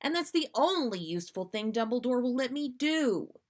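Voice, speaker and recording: A woman's voice: on the high side, sing-song, moderate pace, pitched above the performer's own voice. A moody, mischievous wizard in a story , acted out by a woman reader. He is restless and sulky, growling or mumbling his short replies with a put-on casual air.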